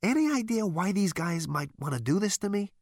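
Male narrator as cocky, incredulous male space rogue for sci-fi audiobook; raised, animated pitch, slangy and demanding. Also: a little fast, dry recording, clean studio sound, heavily acted. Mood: flippant. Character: brash, demanding, food-obsessed man, indignant space rogue. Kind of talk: audiobook character voice.

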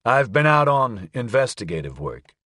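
A man voicing a practical, smooth-talking male private detective, brisk and wry, in an audiobook. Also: mid-range voice, sing-song, a bit bright, no room sound, clean studio sound. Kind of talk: audiobook character acting.

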